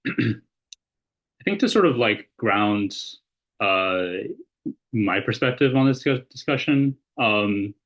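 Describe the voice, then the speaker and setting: Podcast guest, guy with a lowish voice, measured and explaining stuff. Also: fairly bright tone, poor audio. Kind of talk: casual discussion, reading quotes.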